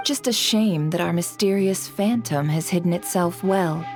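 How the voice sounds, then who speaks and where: Game casting: actress for scheming lady spymaster, lowish register, smooth and theatrically courteous, faint music underneath. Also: a little mellow, close to an everyday voice. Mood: sly, confiding. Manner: smooth, sly, measured, theatrically polite. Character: suave, scheming woman who runs an intelligence business. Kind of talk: video game cutscene acting. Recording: background music behind voice.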